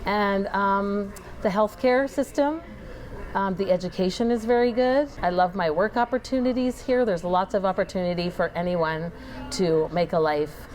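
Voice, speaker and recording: A Canadian woman in a casual interview, warmly listing what she loves with fillers. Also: medium pitch, moderate pace, slight background noise.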